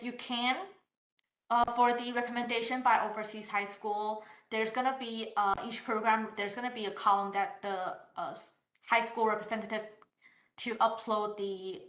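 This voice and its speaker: A woman's voice: mid-range voice, pushed and bright. A woman from university admissions reading out questions and answering them in an online session, politely and with many hesitations. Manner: hesitant, frequent "uh" fillers and restarts; polite, explanatory. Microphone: online session over computer mic. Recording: roomy echo.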